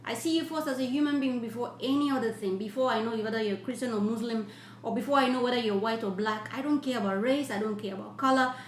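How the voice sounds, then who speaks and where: Woman livestream host, effusive, slightly high voice, echoey room, faint hum. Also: quick pace. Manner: warm, effusive, blesses viewers at length; earnest, repetitive preaching tone. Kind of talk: casual live-stream chat, reading viewer comments.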